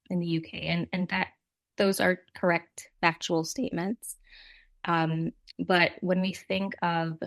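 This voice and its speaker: Low creaky-voiced woman, reflective. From Jamaica. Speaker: nonprofit representative, interview guest. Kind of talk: conversational interview answers.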